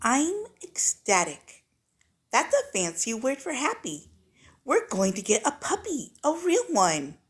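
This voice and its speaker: A woman voicing an excitable young girl who loves fancy words, narrating a storybook in a dramatic, precise manner. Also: high-pitched, takes it slowly, slightly rough, voice pushed up, exaggerated voice. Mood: excited, proud. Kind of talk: storybook read-aloud, first-person child narrator.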